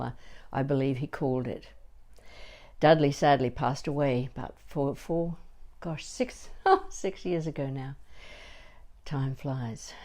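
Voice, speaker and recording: Mellow, unhurried older woman teaching. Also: a bass voice. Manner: deliberate, emphatic, pausing between short phrases. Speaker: voice coach demonstrating an exercise. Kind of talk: conversational demonstration lesson.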